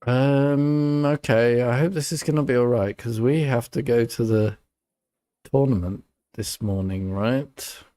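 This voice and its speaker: A man's voice: mid-range voice, unhurried, mellow. A man giving casual playthrough commentary as a game streamer, muttering and grumbling at the game.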